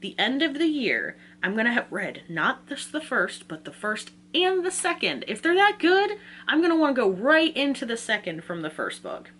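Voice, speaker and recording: A woman's voice: a little high, wildly swooping pitch, moderate pace, a bit bright. Casual, chatty woman with hum behind her. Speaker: book reviewer, vlogger. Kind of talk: casual chatty reading-list vlog.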